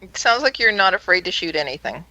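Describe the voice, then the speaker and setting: A woman's voice: lowish voice, animated, quick pace, pushed and bright, dull audio. A woman co-hosting a conversational radio interview, asking guests questions. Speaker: podcast co-host and interviewer.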